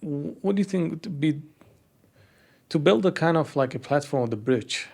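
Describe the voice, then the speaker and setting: A man's voice: a little high, animated, normal speed, creaky, darkish tone, slightly rough. A man hosting an interview in a language that is not his first, often restarting and searching for words. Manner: searching for words, non-native grammar. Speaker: host. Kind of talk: interview questions.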